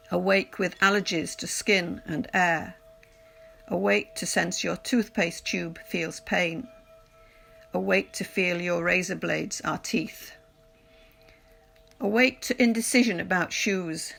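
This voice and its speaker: Woman, slow pace, creaky voice, medium pitch, deliberate poetry reading, soft-to-clear music behind. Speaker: poetry reader. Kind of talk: scripted poetry recitation.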